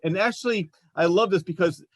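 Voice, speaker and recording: A man's voice: high voice, brisk, no room sound. A man hosting a casual interview show, talking in an enthusiastic, complimentary way. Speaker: show host. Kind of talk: casual chat, interviewing guests.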